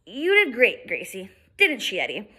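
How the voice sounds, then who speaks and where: A woman reading aloud and voicing a calm schoolboy who explains things in a reasonable tone. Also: a little high, normal speed, slightly rough, full character voice. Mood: reasoning.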